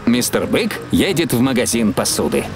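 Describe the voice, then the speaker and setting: A man's voice: medium pitch, sing-song, normal speed, natural voice. A man narrating a preschool cartoon as a friendly storyteller, in simple explanatory phrases. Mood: storytelling. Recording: music behind the voice.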